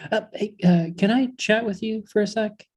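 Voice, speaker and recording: A woman's voice: medium pitch, steady tone, moderate pace, darkish tone, natural voice. A woman playing a worried, touchy male head elf in a comedy table read, earnest and a little hesitant.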